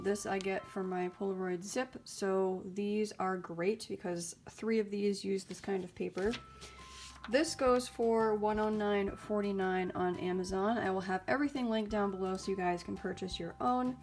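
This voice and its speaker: Chatty young woman, a little stuffy. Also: medium pitch. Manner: chatty and upbeat, with frequent ums and likes. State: slightly raspy and stuffy, getting over illness. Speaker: YouTube host reviewing mini printers. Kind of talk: casual product review vlog.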